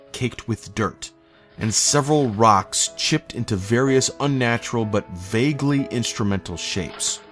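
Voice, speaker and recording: A man reading a scripted horror story as narrator, in a measured, literary manner. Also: lowish voice, fairly bright tone. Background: faint eerie music.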